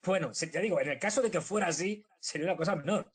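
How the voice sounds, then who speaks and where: Very fast-talking higher-voiced male commentator. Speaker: political commentator guest. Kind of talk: casual spoken political analysis.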